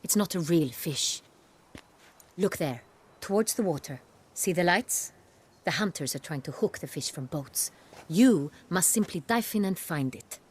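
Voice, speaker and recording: An actress playing a commanding, self-assured young aristocratic woman, speaking in a brisk, formal, imperious way. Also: on the low side, expressive, a little mellow, breathy edge. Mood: instructing.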